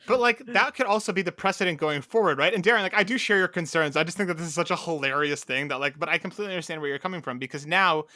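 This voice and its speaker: Male co-host, bright forward voice, fairly high, emphatic, conversational, dry close sound. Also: very fast, hardly any pauses, no echo. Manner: emphatic, acts out imagined quotes. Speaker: podcast co-host. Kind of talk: casual animated chat.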